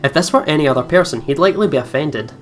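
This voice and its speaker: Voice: mid-range voice, lively intonation, quick pace. Let's player reading over faint music. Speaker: let's play host. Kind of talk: reading game text aloud with casual commentary.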